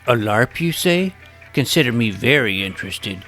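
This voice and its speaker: A man's voice: medium pitch, expressive, normal speed, sharp tone. Male narrator, mid-range, earnest and precise first-person storytelling, light music bed. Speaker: narrator playing the story's young protagonist. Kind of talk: first-person scripted audio drama narration.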